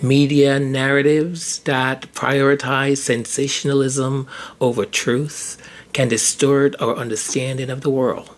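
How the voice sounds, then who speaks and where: A podcast host with a hoarse voice, talking through ideas in a casual monologue, often restarting and repeating words mid-thought. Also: mid-range voice, flat delivery, unhurried.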